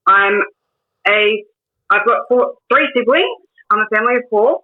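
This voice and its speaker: A woman in her twenties with an Australian accent, a guest telling her life story in a casual interview, hesitant with many pauses and restarts. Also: medium pitch. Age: 20s. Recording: tinny phone-like line.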